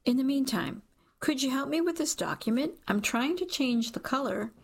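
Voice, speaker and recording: A woman's voice: high-pitched, lively intonation, moderate pace, breathy edge, pitched above the performer's own voice, heavily acted. A woman voicing a friendly, oblivious older female coworker in an audiobook, chatty and unbothered. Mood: sweetly oblivious.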